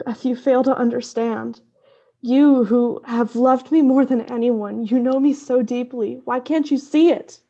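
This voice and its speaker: Morella, obsessed occult scholar, high and dark-toned. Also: female voice, fairly even intonation, a little slow, highs cut off. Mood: desperate, fervent. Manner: intense, portentous, theatrical. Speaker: actress in an audio drama. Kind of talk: gothic radio play acting.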